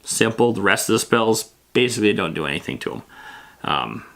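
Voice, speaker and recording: A man's voice: on the low side, animated, brisk, vocal fry, a bit bright. A man hosting a casual, loosely improvised explainer on fantasy gaming, talking in a rambling, plain-spoken, matter-of-fact way. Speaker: host of a tabletop roleplaying lore show.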